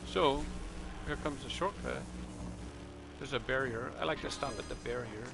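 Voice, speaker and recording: Gravelly-voiced man narrating his gameplay. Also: medium pitch, moderate pace, music in the background. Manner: relaxed, talks to himself while playing. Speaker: gaming streamer. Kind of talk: casual gameplay commentary.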